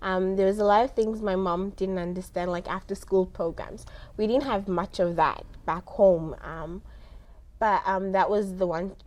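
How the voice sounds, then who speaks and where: A woman's voice: mid-range voice, fairly even intonation, normal speed, a little mellow. A young woman from South Africa whose mother tongue is Somali, answering interview questions conversationally and often chuckling as she explains. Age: young adult. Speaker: interview guest.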